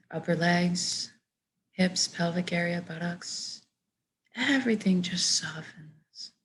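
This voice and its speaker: A woman with a slightly low voice guides a meditation. Her delivery is gentle and measured, with soft phrases that trail off as she gives soothing instructions.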